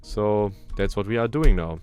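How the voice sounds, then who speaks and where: A man's voice: on the low side, expressive, normal speed, darkish tone, faint music behind. A young man with a German accent, casually talking through a parkour game run as a gaming channel host, chatty and self-deprecating, thinking aloud as he plays. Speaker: gaming YouTuber. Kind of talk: casual gameplay commentary.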